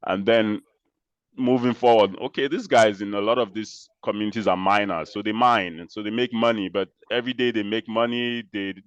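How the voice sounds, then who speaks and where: A man from Nigeria speaks with a very low, smooth and resonant voice, laying out his story in a measured, explanatory way. The sound is dry and a little dull, as over a video call. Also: steady tone, no room sound. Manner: measured, explanatory, with frequent pauses and restarts. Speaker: interview guest, social entrepreneur. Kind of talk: conversational interview answers.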